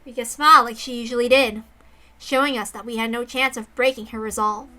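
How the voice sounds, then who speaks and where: Woman reading a visual novel out loud, high bright voice, story narration plus casual side comments. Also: steady tone, brisk, soft background music. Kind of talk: dramatic narration with casual asides.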